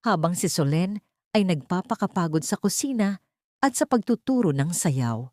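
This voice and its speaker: A woman's voice: fairly low pitch, darkish tone, dry recording, studio quality. A woman with a Filipino accent reading a dramatic scripted audiobook story as narrator.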